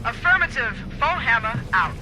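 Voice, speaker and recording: A woman's voice: fairly high pitch, big pitch swings, normal speed, noisy background. A woman playing a dry, no-nonsense military dropship pilot in a cinematic video game, with wry clipped delivery. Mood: brisk.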